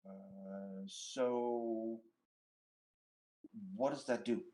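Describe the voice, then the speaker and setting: Male tabletop player, unhurried casual talk in a lower voice. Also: fairly even intonation, warm tone, poor audio. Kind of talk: casual chat.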